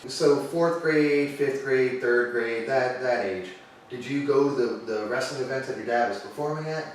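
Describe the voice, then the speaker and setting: A man's voice: medium pitch, moderate pace, very echoey, recorded from a distance, a little hiss or hum, rough recording. A man hosting a casual interview, asking eager questions and agreeing often. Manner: eager, agreeable, many short backchannels. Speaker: interviewer, host.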